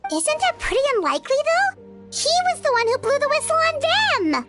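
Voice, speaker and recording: Game fairy companion: squeaky-high, chatty, childlike and opinionated, over clear background music. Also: female voice, very animated, normal speed, fairly bright tone, full character voice. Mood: indignant. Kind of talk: video game character dialogue.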